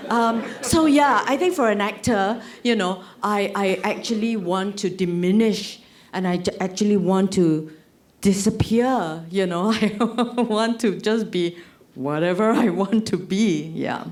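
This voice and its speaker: A woman's voice: mid-range voice, measured pace, a little mellow. A woman, an actor speaking on a panel, chatty and laughing, with many fillers. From Singapore. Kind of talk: panel discussion.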